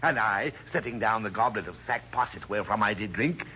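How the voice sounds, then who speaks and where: A self-important old physician of biblical times in a vintage radio play, with a bright, husky voice. He declaims in florid archaic English, swooping grandly up and down. The old recording is dull and boxy. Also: on the low side, expressive, brisk, full character voice. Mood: excited, self-important. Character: pompous, boastful, portly older physician of ancient Bethlehem.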